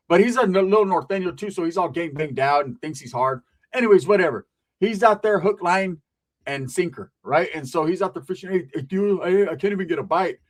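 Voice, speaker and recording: A man telling a rambling, profane comic story about family, tagging his lines with "right?". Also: on the high side.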